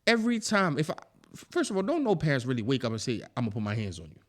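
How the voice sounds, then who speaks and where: Male podcast co-host, grew up in Haiti, mid-pitched, blunt and animated storyteller. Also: racing. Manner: animated storyteller, swears freely. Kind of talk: casual chat.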